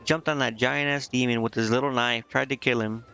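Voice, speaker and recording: A man's voice: mid-range voice, fairly even intonation, fairly quick, gravelly, bright, forceful tone, smooth, highs cut off, faint music behind. A man giving casual, off-the-cuff gameplay commentary as a streamer, slangy and irreverent. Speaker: video game streamer.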